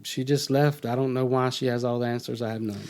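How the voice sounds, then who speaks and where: A man's voice: mid-range voice, fairly even intonation, quick pace, darkish tone. A middle-aged man, a podcast guest, telling his own story in a casual way and acting out remembered dialogue.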